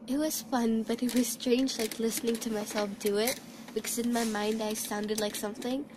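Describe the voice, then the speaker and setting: High-voiced young woman, hesitant trainee. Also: fairly even intonation, moderate pace, noisy background. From Hong Kong. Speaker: voice-over trainee. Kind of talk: casual interview answers. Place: recording studio.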